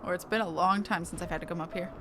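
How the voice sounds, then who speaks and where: A woman's voice: on the low side, steady tone, rapid-fire. A woman game streamer giving casual commentary as she plays. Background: game music.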